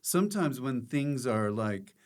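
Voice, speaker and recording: A man with an American accent hosts a relaxed comedy interview. His pitch sits in the middle range, and he talks informally with a joking streak, like someone chatting with a fellow comic. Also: measured pace. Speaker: podcast host, comedian. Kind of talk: casual chat interview.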